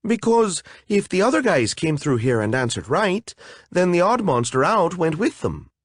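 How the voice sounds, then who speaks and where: A man voicing a vain, petulant tiny female fairy with a whiny, high, squealing voice. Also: wildly swooping pitch, normal speed, breathy edge, dry recording, heavily acted. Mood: anxious.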